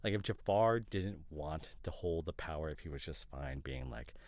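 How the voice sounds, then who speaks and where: A man playing an opinionated, teasing boyfriend in an ASMR roleplay, chatting casually in a hesitant, rambling way with mock seriousness and a gravelly voice. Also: extremely low pitch, lively intonation, normal speed, a little mellow, dry recording. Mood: earnest, argumentative. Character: opinionated, teasing boyfriend debating couple's costume ideas.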